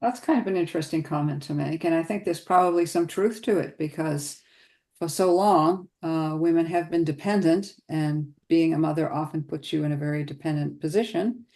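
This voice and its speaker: A woman's voice: low voice, steady tone, moderate pace, warm tone. A woman hosting a webinar who speaks conversationally and often murmurs agreement. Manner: conversational, with frequent agreeing interjections. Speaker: webinar host. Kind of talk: casual conversation.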